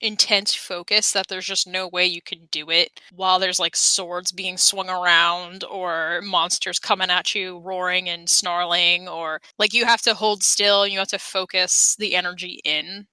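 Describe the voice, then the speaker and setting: A woman playing a tabletop game, chatting casually and explaining things in an animated, wordy way. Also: lowish voice, a little slow, hardly any pauses, smooth, no room sound, rough recording. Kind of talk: casual game chat and character narration.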